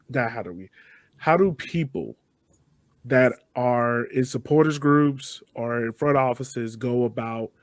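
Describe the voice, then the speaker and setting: A man's voice: mid-range voice, no room sound. Dry-sounding man hosting a podcast interview. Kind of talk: conversational interviewing.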